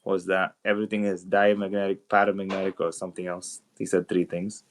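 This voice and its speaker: A man arguing on an online call, rapid and exasperated, swearing and full of fillers. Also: sits low, flat delivery, slight background noise. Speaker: debater on a call. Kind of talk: heated online debate.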